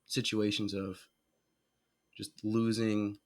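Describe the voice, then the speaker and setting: Easygoing low-voiced male podcast host. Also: little pitch movement, slow, darkish tone. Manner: curious, easygoing, reacts with surprise. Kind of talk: casual interview chat.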